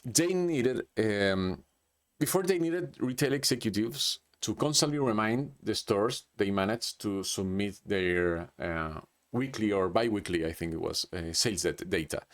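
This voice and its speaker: A man's voice: on the low side, animated, unhurried. Hesitant man with a Chilean accent. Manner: hesitant, with many restarts and "like". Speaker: presenter. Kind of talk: unscripted business presentation.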